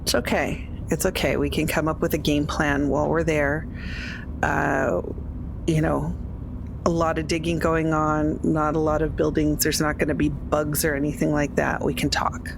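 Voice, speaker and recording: A woman with a low voice and noticeable vocal fry plays a tabletop game. She talks casually, as a player among friends on a podcast. Clear ambient noise, like a vehicle, sits behind her. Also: flat delivery, normal speed.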